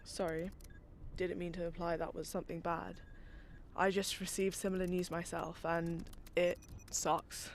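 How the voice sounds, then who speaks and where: A weary engineer carrying old guilt , played by a woman, speaking slowly and earnestly at an ordinary pitch. Faint hiss sits behind her. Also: flat delivery.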